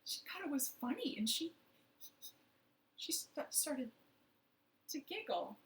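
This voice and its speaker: A woman's voice: high-pitched, very animated, takes it slowly, a little hiss or hum. A woman telling a children's story expressively from her living room, speaking directly to young listeners. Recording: roomy, echoing home living room.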